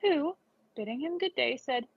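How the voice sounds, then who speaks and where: A woman's voice: high-pitched, lively intonation, normal speed, creaky. A woman reading a scripted children's story aloud. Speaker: storybook reader. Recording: dull lo-fi recording.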